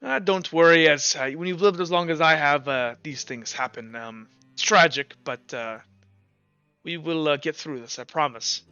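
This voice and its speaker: A man voicing a kindly, long-lived old mentor in a gentle, hesitant, avuncular way for a tabletop game. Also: on the high side, moderate pace, clean tone, dry recording, lo-fi sound, faint music behind. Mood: consoling.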